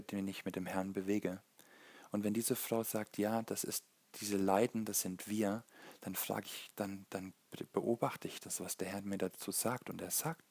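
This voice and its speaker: A man from Germany, preaching in an earnest, halting way as he searches for words. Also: lowish voice, creaky, darkish tone, breathy edge, no echo. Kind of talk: sermon, Bible teaching.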